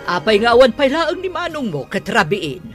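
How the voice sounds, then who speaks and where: A woman's voice: fairly high pitch, very animated, moderate pace, soft background music. An actress playing a disapproving, nagging mother of a grown son in a radio drama. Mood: irritated.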